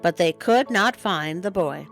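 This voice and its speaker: Expressive woman storyteller, lively pitch. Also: unhurried, faint music behind. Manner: playful, expressive, self-interrupting. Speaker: storytime host and reader. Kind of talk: picture-book storytelling with chatty asides.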